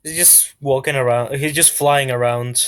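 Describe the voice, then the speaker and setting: A man's voice: medium pitch, steady tone, moderate pace. A man acting as game master, casually narrating and making rulings in a tabletop game.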